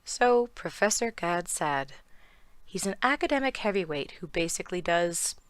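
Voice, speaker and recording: A woman with a hoarse, worn voice, giving sardonic, irreverent scripted commentary for her video channel. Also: lowish voice, animated, normal speed. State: hoarse from lost voice. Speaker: YouTube commentator.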